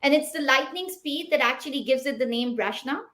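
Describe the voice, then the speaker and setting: A woman in her thirties from Pakistan, Pashto her first language, delivering an upbeat, rehearsed startup pitch with rhetorical questions. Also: brisk, a bit bright.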